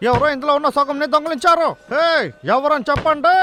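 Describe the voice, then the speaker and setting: A man's voice: very high-pitched, steady tone, fast talker, slight background noise. A man voicing a hot-tempered, greedy male hunter in a dubbed cartoon, blustering and grumbling. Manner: shouty, blustering, grumbling complaints. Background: music.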